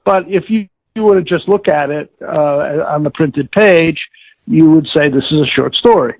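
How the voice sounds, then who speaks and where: An older American man recalling his career in an interview, speaking articulately and formally but haltingly as he searches for words. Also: a little high, vocal fry, dry recording. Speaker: interviewee, former audiobook director and producer. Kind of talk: interview recollection. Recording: thin band-limited phone-like call audio.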